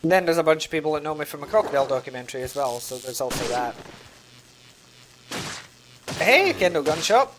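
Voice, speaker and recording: A man's voice: on the high side, animated, brisk, long pauses, noisy background. A man streaming a game and chatting loosely with his live audience, rambling with lots of filler words. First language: English. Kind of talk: casual chat with a live audience while gaming.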